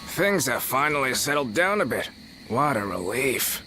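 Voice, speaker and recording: A man's voice: medium pitch, very animated, moderate pace, pushed and bright, soft background music. A man playing a loyal, easygoing warrior follower in a video game, casual and plain-spoken. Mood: relieved. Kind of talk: video game dub acting.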